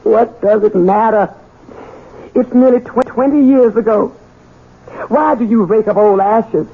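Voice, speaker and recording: A woman playing a deferential, anxious middle-aged housekeeper and protective mother in a radio drama, husky. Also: a little high, measured pace. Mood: bitter, resigned. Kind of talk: 1970s radio drama acting. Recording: tinny, narrow-band old radio recording.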